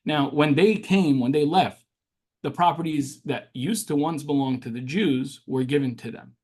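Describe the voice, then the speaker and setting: A man's voice: a little high, moderate pace, darkish tone. A young man born in Syria whose first language is Arabic, earnestly recounting his childhood as an interview guest and often restarting his sentences. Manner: earnest, with frequent restarts and fillers. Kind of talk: interview storytelling.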